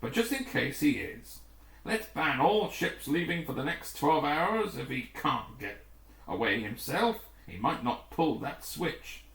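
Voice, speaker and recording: A man's voice: fairly high pitch, very animated, measured pace, gravelly, fairly bright tone, exaggerated voice. A man voicing a practical, decisive rescue team leader in a storybook, with a businesslike manner. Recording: roomy, echoing room.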